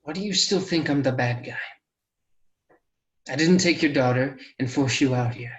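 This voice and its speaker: A man's voice: medium pitch, moderate pace, natural voice. A man playing an earnest, reserved traveller in a western table read, speaking plainly. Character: earnest, polite, reserved traveller riding with a posse.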